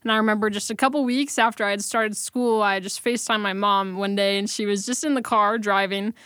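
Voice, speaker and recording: A woman's voice: medium pitch, steady tone, fairly quick, a bit bright, smooth, no echo. A young American woman telling a casual personal anecdote on a close studio mic. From Las Vegas, United States. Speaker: audio engineer and guest.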